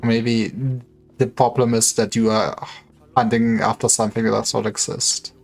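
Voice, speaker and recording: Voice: medium pitch, flat delivery, normal speed, creaky. A livestreamer giving casual, wry commentary on a game, with joking asides. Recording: faint music behind. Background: game music.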